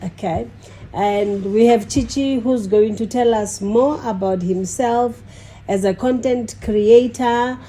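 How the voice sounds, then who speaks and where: Slow-talking woman with a dark, mellow voice. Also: a little high, slight background noise, poor audio. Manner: slow, measured, frequent "okay" backchannels. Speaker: podcast host. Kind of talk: podcast interview.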